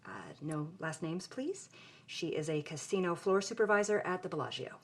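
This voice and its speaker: An outspoken young woman being interviewed in an audio drama. Her voice is fairly low, and she tells her story in a wry, conversational way. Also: animated, normal speed. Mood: wry, candid. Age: young adult. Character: frank young woman, film grad student telling her story.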